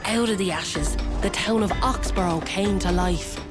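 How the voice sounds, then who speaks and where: An actress playing a worldly storyteller, giving dramatic scripted narration for a fantasy video game. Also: female voice, mid-range voice, measured pace, lightly acted. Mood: knowing, dramatic. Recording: loud music under the voice.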